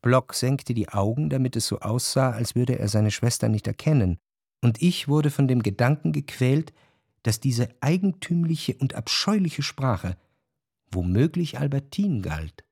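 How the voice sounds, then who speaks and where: A man playing an introspective narrator recalling his past love in a literary radio drama, measured and reflective. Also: mid-range voice, lively intonation, gravelly, a little mellow, breathy edge, heavily acted.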